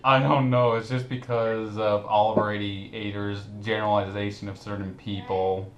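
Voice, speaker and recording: A man's voice: lowish voice, flat delivery, normal speed, fairly bright tone, muffled recording. A man hosting a casual group commentary chat, talking informally with friends. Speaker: host. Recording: roomy echo with some background noise.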